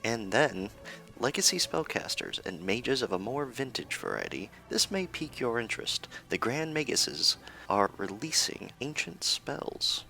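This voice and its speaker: A man's voice: medium pitch, very animated, unhurried, vocal fry, sharp tone, soft background music, exaggerated voice. A man playing an earnest news anchor in a fantasy radio news parody, with a polished, presentational delivery. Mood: earnest newsreading.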